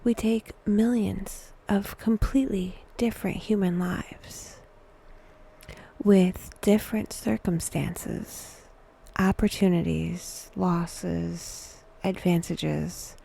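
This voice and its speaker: Very slow, hushed, breathy woman guiding meditation. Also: lowish voice. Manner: hushed, breathy, often near a whisper; wry, dry humor. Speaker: guided meditation host. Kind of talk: scripted guided meditation narration.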